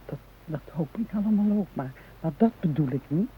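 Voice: mid-range voice, lively intonation, a little fast, natural voice. An actor playing a nervous, hesitant hostage in a radio drama, stammering and seeking reassurance. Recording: noisy, narrow-band old radio recording.

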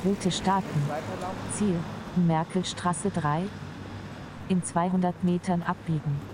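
Woman as a satnav, lowish slow neutral prompts, lots of car noise. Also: fairly even intonation. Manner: neutral, clipped. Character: female car navigation system, neutral and instructive. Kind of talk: turn-by-turn navigation prompts. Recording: loud vehicle noise.

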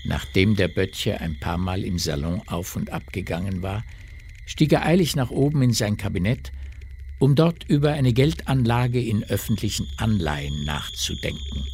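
A man with a fairly low voice narrates a literary radio play. He reads scripted prose, with slight noise in the background. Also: animated, normal speed, a little mellow.

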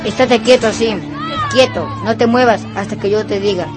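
A woman acts out a domineering schoolboy bully with a Peruvian accent and a fairly high voice. He is spoiled and cruel, ordering others about in a radio drama, over music and the hubbub of children. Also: steady tone, moderate pace, close to an everyday voice. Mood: bossy, commanding. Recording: loud music and children's crowd behind.

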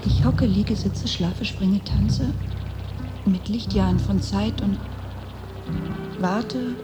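An actress playing a wry, needy blocked novelist in an intimate, wheedling radio play monologue. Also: female voice, medium pitch, takes it slowly, over loud music, lightly acted. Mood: restless. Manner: intimate, wheedling, often dropping to a whisper. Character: blocked female novelist coaxing her absent muse, wry and needy.

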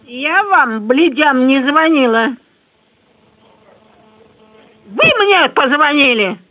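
A woman's voice: sits high, animated, slow pace, slight background noise. A woman in her nineties, crude and quarrelsome, arguing indignantly and swearing heavily over the telephone. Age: 90s. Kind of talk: profane, indignant phone argument. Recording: tinny narrowband phone line.

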